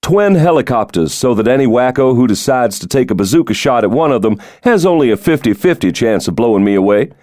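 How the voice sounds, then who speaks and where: A man acting a hearty, folksy President, pitched up. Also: a little mellow, no echo, clean studio sound, close to an everyday voice. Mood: wry. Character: breezy, folksy, commanding male American President in middle age. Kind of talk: audiobook character dialogue.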